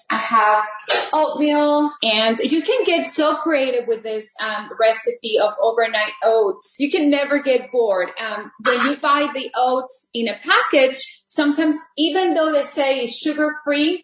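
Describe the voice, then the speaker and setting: A woman's voice: fairly high pitch, echoey room, background noise. A middle-aged woman whose first language is Spanish, a rehabilitation teacher giving a friendly, chatty cooking demonstration from her home kitchen, with many fillers and restarts. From Texas border region.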